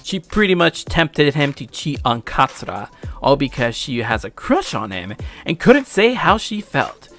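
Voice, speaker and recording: A man's voice: a little high, very animated, a little slow, a bit bright, soft background music. A young adult man giving casual, opinionated anime commentary in a slangy way with some swearing.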